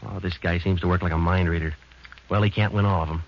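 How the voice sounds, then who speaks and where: A man playing a police detective who narrates his case in a flat, clipped, matter-of-fact 1940s radio drama style. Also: deep voice, fast, vocal fry. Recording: muffled, hissy old radio broadcast.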